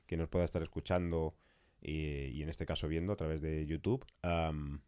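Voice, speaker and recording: Male host, deep register, casual halting banter, dry studio acoustic. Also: fairly even intonation, dark tone, clear, resonant tone, dry recording. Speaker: podcast host, interviewer. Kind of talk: casual interview hosting.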